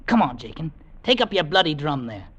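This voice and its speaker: A cocky Cockney lad of the regiment in a vintage radio play. His pitch jumps about in a very lively way, and his tongue is sharp and bossy. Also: a little fast, breathy edge, highs cut off, exaggerated voice. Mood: bossy, scornful. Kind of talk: old-time radio drama acting.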